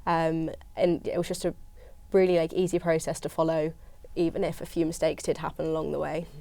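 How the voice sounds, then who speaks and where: A young woman from Surrey, a postgraduate student giving steady, plain-spoken answers on a panel. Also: deep voice, brisk, gravelly, no echo. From Surrey, England.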